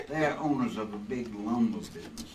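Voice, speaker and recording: A man's voice: lowish voice, animated, moderate pace, natural voice. Guy doing folksy bossy insurance man in an old radio play, repeats himself, bit far from mic, some noise. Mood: genial, explanatory. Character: male insurance man Earl, hospitable, excitable, bossy husband. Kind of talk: old-time radio drama re-creation on stage. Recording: distant stage mics, some room noise.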